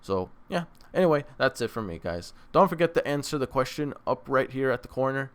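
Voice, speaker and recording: A man's voice: mid-range voice, big pitch swings, brisk, smooth. A man hosting a reaction video in casual, rambling chat, often repeating and rephrasing himself. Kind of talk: casual review chat to camera. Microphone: microphone on a stand.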